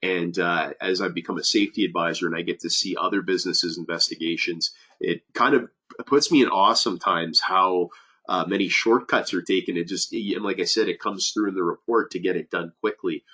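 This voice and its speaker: A man's voice: deep voice, brisk, pushed and bright, rough recording. A man who is a safety advisor, giving a webinar presentation with many fillers and restarts, sounding nasal from a cold.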